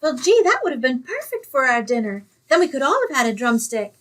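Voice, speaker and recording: Actress as ditzy young woman, very high lilting voice, naive, earnest, radio comedy. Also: very animated, a little fast, fairly bright tone. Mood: naive wonder. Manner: earnest, innocent, dim-witted. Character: sweet, naive, scatterbrained young woman roommate. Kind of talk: old-time radio comedy acting.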